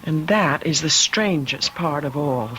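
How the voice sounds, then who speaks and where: A woman's voice: deep voice, measured pace, a bit bright, a little hiss or hum, low-quality recording. An actress playing a dazed, fatalistic woman traveler with a dreamy, brooding delivery, in an old-time radio drama.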